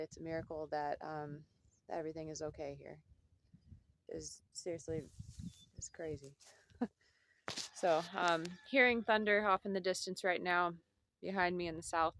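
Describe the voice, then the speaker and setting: A woman's voice: on the low side, fairly even intonation, a little slow, gravelly, long pauses, fairly bright tone, slight background noise. A woman chatting casually to her camera at home, rambling with fillers and repeating herself as she talks. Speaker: vlogger.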